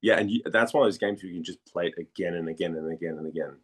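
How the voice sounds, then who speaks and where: A man from Denmark chatting casually as an interview guest, haltingly searching for words and repeating phrases. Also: deep voice. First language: Danish.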